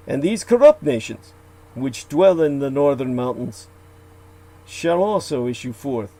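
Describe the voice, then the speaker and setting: A man reciting old legends aloud, with slow, deliberate delivery, archaic phrasing and occasional asides where he corrects himself. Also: medium pitch, mellow, poor audio. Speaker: radio show guest.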